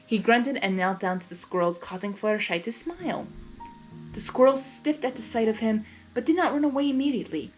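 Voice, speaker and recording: Female amateur story reader, higher-pitched, expressive narration with informal reactions, faint music underneath. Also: a little fast. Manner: expressive, giggly asides. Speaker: fan fiction reader.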